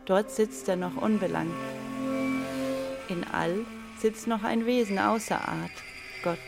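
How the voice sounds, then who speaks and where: A woman reads in a declamatory, deliberate way, as in an art radio play. Her mid-pitched voice has a noticeable creak, and music runs clearly behind her. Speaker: reader of an inventor's writings. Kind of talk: literary recitation in a radio play.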